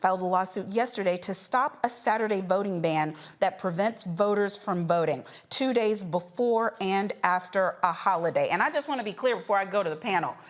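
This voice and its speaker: A woman's voice: medium pitch, lively intonation, fairly quick, hardly any pauses. A woman hosting a political news panel in an informal, emphatic, conversational way. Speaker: panel show host.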